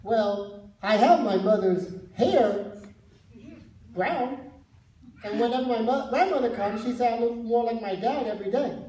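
A woman's voice: on the high side, measured pace, pauses often, mellow, a little hiss or hum, close to an everyday voice. A woman voicing a curious young boy puppet in a church puppet show, talking eagerly and asking questions, recorded from far off in an echoey hall. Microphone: far camera.

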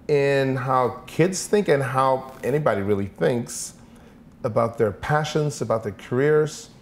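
Interview guest: man in his forties from Sweden, mid-pitched, thoughtful, repeats words while thinking, lapel mic in an office. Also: slight background noise. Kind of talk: conversational interview answers. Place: office with large windows.

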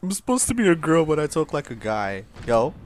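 A man casually commenting on a video game he plays, joking and laughing, jumping from loud squeals to low mumbles. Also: sing-song, brisk, slight background noise. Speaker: gameplay streamer. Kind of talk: casual let's-play commentary.

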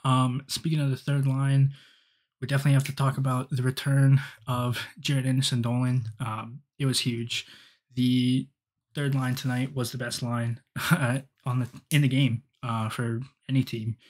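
A young man giving rambling solo hockey commentary with many ums and restarts, recorded on a headset in a small dim room. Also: medium pitch. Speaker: hockey fan podcaster. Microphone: headset, webcam framing.

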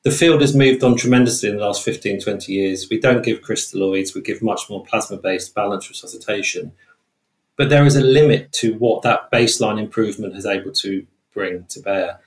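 Low-pitched British man in echoey room. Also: fairly quick. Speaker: trauma researcher and interview guest. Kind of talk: explaining research findings in an interview. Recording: roomy, echoing sound.

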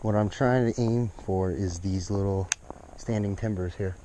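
A man's voice: fairly low pitch, fairly even intonation, moderate pace, noisy background. A young man casually narrating his fishing trip on a rocky lakeshore, chatting to the fish and his viewers, recorded on an action camera. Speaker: fishing vlogger. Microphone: action camera, head-mounted and at arm's length.

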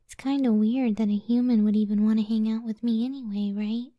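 A woman voicing a gentle, self-doubting giant cyclops wife in a soft, breathy ASMR roleplay, speaking tenderly and often near a whisper. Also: a little high, steady tone, dry recording, highs cut off, close to an everyday voice. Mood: wistful, insecure. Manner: slow, tender, unhurried.

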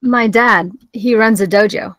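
A woman's voice: medium pitch, measured pace, a bit bright, no room sound, close to an everyday voice. A woman plays a teenage girl in a drama script read. She is casual and understated, with a mid-range voice. The sound is dry and close.

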